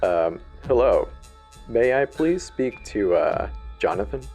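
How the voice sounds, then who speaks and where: A man plays a huge, genial recruiter in a horror story. He sounds deep, polite and a little hesitant. There is music behind him. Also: sing-song, slow pace, vocal fry, a little mellow, heavily acted. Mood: polite, friendly. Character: huge, friendly deep-voiced male job recruiter.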